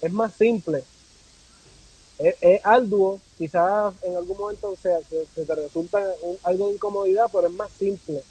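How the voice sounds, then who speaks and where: High-voiced husky Puerto Rican man, reflective. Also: normal speed, mellow, rough recording. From Puerto Rico. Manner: reflective, philosophical, repeats phrases for emphasis. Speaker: podcast guest, travel content creator. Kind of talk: casual conversational interview.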